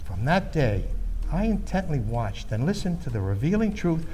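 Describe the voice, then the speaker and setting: A man playing an earnest Midwestern storyteller recalling his youth in a scripted radio theater monologue, measured, grave and declamatory. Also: fairly high pitch, big pitch swings, dark tone, faint music behind. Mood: earnest, dramatic.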